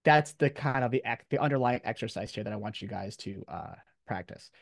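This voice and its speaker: A man's voice: medium pitch, brisk, creaky, no echo, clean studio sound. A man speaking as a college professor, giving an informal, encouraging lecture to his class in casual, slangy language.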